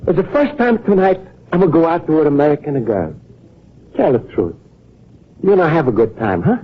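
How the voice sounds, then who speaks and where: A naive Italian immigrant with a thick accent and a high voice. He speaks earnest broken English in the manner of a vintage radio comedy. The sound is dull and narrow, like an old broadcast. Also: big pitch swings, fairly quick, a little hiss or hum. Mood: shy, hopeful. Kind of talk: 1940s American radio comedy acting.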